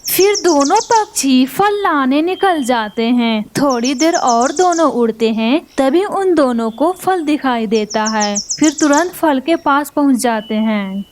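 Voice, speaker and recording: Female cartoon narrator, measured, high register, faint hiss. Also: animated.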